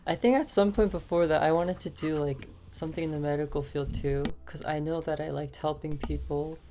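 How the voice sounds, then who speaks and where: A woman's voice: low voice, moderate pace, warm tone, a little hiss or hum. A young woman guest on a podcast, answering hesitantly with lots of "like" and "um". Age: young adult. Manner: hesitant, many fillers like "like" and "um". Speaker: podcast guest, aspiring teacher. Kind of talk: casual interview answers.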